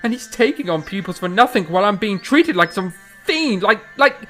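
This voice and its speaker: A woman's voice: medium pitch, expressive, a little fast, a bit bright, faint music behind, natural voice. Woman voicing a hot-tempered young composer. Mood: frustrated. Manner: stammering, pleading, breaks into frantic self-scolding. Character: hot-tempered young male composer, remorseful and self-loathing.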